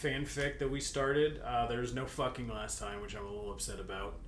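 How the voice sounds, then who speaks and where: A man's voice: medium pitch, fairly even intonation, a little fast, fairly bright tone. A man casually reading fan fiction aloud and bantering, with a teasing, crude and giggly manner. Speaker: guest co-reader. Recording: roomy echo, faint background noise.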